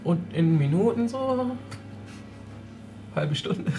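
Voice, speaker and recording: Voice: mid-range voice, lively intonation, slow pace, mellow. A player chatting casually and jokingly during a tabletop game, with music behind.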